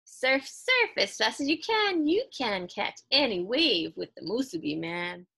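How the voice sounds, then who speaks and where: A woman's voice: on the high side, big pitch swings, a little slow, creaky, pushed and bright, slightly rough, voice pushed up, full character voice. A woman voicing a boastful little rice-ball runaway in a children's storybook, taunting in sing-song. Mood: gleeful taunting. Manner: sing-song taunting in Hawaiian Pidgin phrasing.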